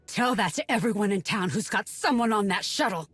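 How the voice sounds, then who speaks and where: A woman's voice: mid-range voice, lively intonation, a little fast, fairly bright tone, breathy edge, dry recording, soft background music. An actress playing a distraught, fiery young woman in a video game cutscene, impassioned and accusing. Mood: accusing, suspicious.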